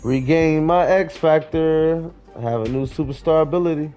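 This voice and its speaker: A man's voice: on the high side, moderate pace, mellow, soft background music. A man talking casually to himself as he plays a video game. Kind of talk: casual gameplay chat.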